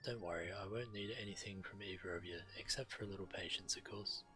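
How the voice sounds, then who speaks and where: A man with a fairly low voice narrates a game stream, reading quest text aloud and adding his own commentary. Clear game music plays behind him. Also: fast talker, pushed and bright. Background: video game music.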